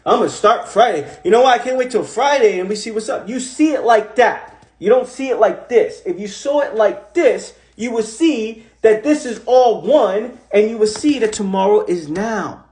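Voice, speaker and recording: Animated man talking on a phone live. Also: high-pitched, normal speed. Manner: animated, repeats himself, swears casually. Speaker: host of an Instagram live. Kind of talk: casual motivational talk.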